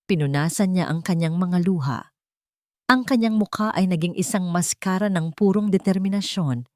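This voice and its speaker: A woman narrating a scripted dramatic story in measured short phrases. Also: on the low side, darkish tone, no room sound, clean studio sound.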